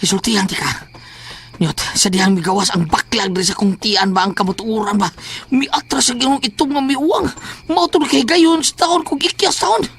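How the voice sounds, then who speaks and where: Casting: male actor as excitable, high-voiced whiner, a comic coward for horror audio drama, on a noisy homemade track. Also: moderate pace, vocal fry, exaggerated voice. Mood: grumbling. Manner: whining, exclamatory, excitable.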